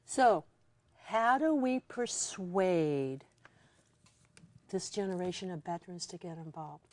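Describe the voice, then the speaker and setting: A woman's voice: fairly low pitch, lively intonation, takes it slowly, no room sound. A woman hosting an interview, asking questions and affirming her guest in an engaged way.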